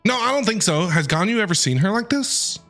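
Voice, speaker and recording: Bright, animated game streamer. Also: mid-range voice, a little fast. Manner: enthusiastic, playful, casual slangy talk. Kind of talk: excited gameplay reaction and commentary. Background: game music.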